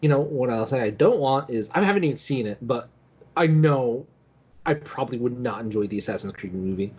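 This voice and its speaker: A man's voice: mid-range voice, animated, a little fast, a little mellow, husky. A man in a casual podcast discussion, rambling as a panelist, on dull lo-fi audio. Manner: rambling, peppered with "like".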